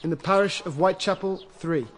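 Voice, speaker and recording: An actor playing a formal, impassive reader of death tolls in a radio drama, measured and solemn. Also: male voice, high voice, a little hiss or hum, close to an everyday voice. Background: prominent music.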